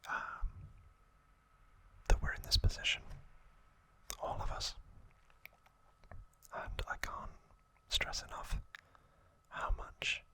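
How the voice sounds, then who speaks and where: A man hosting ASMR, narrating in a breathy, unvoiced whisper with a gentle, deliberate manner and frequent pauses. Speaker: ASMR channel host.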